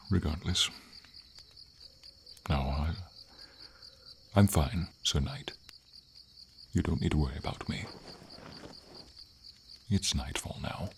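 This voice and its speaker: A gentle silver dragon, acted by a man, with a very deep voice full of gravel and breath. He speaks slowly and tenderly in formal, noble phrases, with a melancholy air suited to a fantasy ASMR roleplay. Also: a little hiss or hum, full character voice. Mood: gentle, reassuring. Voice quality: husky, gravelly, breathy low voice.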